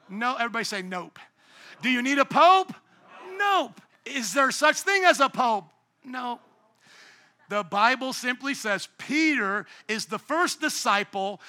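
A man pastoring a church congregation, speaking with a strikingly high pitch. His tone is sharp and bright, and he preaches with emphasis and humor, often getting the crowd to echo words back. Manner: emphatic, calls for congregation responses; playful, jokes at himself. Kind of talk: sermon. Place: church sanctuary.

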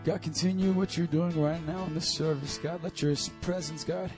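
A hoarse man leading worship, praying and exhorting a church congregation into a stage mic. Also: a little high, fairly even intonation, moderate pace, music in the background. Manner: urgent, exhorting calls to the crowd. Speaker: worship leader and preacher. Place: church service hall. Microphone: handheld stage mic.

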